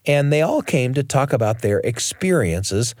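Animated male radio host. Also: medium pitch, moderate pace, no echo, clean studio sound. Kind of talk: scripted radio narration. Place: studio.

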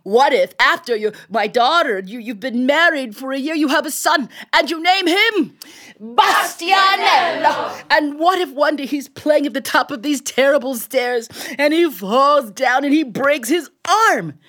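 A woman's voice: high-pitched, lively intonation, measured pace, fairly bright tone, voice pushed up. A woman voicing a fretful grocer who laments a misfortune that has not happened. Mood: lamenting. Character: fretful old man, a grocer.

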